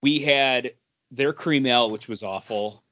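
A man co-hosting a podcast in casual chat, with a rambling, halting delivery. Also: medium pitch, pushed and bright. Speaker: podcast co-host. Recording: dull, dry lo-fi audio.

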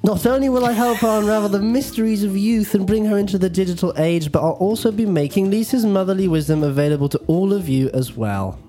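A man's voice: high-pitched, fast talker, hardly any pauses, warm tone, faint music behind. A young British man hosting a podcast in a casual, teasing, playful way. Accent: British English. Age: young adult. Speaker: podcast host.

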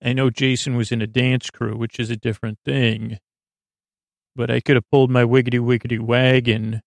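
A man hosting a bedtime podcast in a meandering, halting monologue that trails off into tangents. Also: medium pitch, flat delivery, vocal fry, no echo. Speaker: podcast host.

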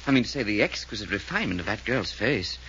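A man playing an excitable, refined English gentleman detective with a British accent in an old radio mystery, plummy and theatrical. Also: fairly low pitch, fast talker. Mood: intrigued. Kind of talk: 1940s radio mystery acting. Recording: dull, noisy old radio recording.

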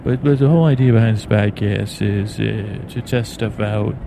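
Clear cabin noise surrounds an American man with a low, gravelly voice. He rambles soothingly as a bedtime podcast host, halting and meandering as he goes. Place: aboard an airplane in flight. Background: steady plane noise.

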